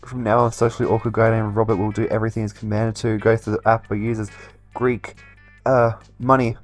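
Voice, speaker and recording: A man's voice: on the low side, flat delivery, fairly quick. Man reading a game aloud over music. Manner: stumbles over words while reading. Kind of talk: playthrough narration with casual commentary.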